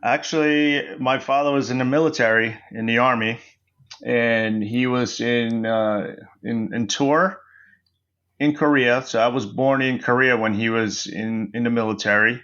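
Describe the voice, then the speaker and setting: A man raised in the US, a podcast guest, talking in an earnest, measured way over remote audio. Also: medium pitch, steady tone, a bit bright, highs cut off. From United States. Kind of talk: casual interview talk.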